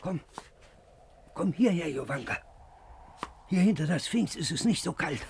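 An actor playing a troubled, brooding ordinary man in a radio play, confiding in a husky, breathy voice. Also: on the high side, expressive, unhurried, slight background noise. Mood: tender, wistful. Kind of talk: 1950s German radio play acting.

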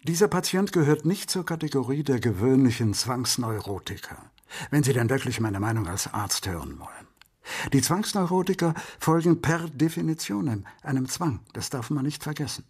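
An actor playing a pedantic, cautionary psychiatrist, lecturing emphatically with a husky voice in a radio drama. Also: male voice, medium pitch, expressive, moderate pace, darkish tone. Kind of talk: radio crime drama dialogue.

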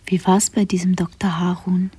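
An actress playing a curious, gentle female companion in a radio play, with a soft, whispery, breathy voice and hesitant questions. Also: lowish voice, little pitch movement, no echo. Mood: curious, sympathetic. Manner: slow, hesitant, tentative questioning. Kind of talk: 1970s German radio play acting.

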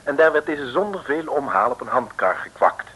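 A man's voice: mid-range voice, expressive, normal speed, sharp tone, husky. A man narrating a scripted radio serial in a wry, chatty storytelling manner, on a vintage recording.

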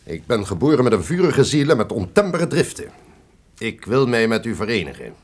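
An actor playing a proud, menacing and passionate duke in a radio drama, speaking in a pompous, formal, commanding way. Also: male voice, mid-range voice, sing-song, brisk, husky. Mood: smooth, proud. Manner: pompous, commanding, formal old-fashioned speech. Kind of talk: theatrical radio drama acting.

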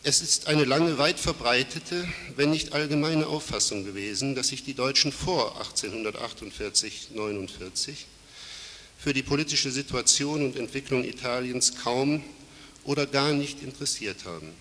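A man's voice: mid-range voice, sharp tone. Man with a cold, formal academic speaker in a reverberant lecture hall. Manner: formal, measured, with frequent fillers and self-corrections. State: has a cold. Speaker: conference speaker. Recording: hissy reverberant hall recording.

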